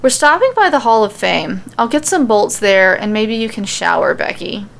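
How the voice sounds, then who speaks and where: A woman's voice: mid-range voice, lively intonation, normal speed, vocal fry, fairly bright tone. A woman voicing a curious, decisive female leader of a fantasy quest. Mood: assertive, curious.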